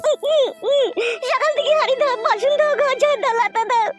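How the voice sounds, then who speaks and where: A woman voicing a headstrong, nagging young newlywed wife in a comedy cartoon, with a rural West Bengal accent and an artificially squeaky voice. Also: quick pace, loud music behind the voice. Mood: tearful whining. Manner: whining, nagging, sulky.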